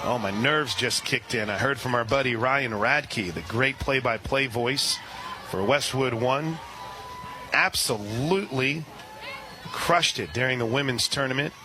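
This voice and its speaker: Excitable radio ballgame announcer, man. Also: music in the background. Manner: chatty, excitable, quick asides between pitches. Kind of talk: live radio sports commentary. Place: outdoor ballpark press area.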